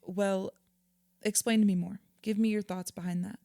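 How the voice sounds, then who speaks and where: An actress playing a composed, sympathetic therapist in an audio drama, measured and gently questioning. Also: female voice, mid-range voice, fairly even intonation, gravelly, no echo, clean studio sound, lightly acted. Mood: attentive, gentle. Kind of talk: audio drama acting, therapy session dialogue.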